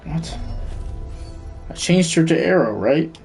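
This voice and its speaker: A man's voice: a little high, lively intonation, slow pace, gravelly, darkish tone, soft background music. A man streaming a video game, giving casual commentary and muttering to himself as he thinks aloud. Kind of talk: casual live gameplay commentary.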